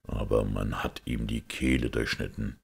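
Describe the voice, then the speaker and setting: A grave, sly priest of a detective audiobook, acted out by a male reader. He speaks slowly and solemnly in a hushed, extremely low voice with a husky grain. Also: steady tone, heavily acted. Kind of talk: audiobook character voice.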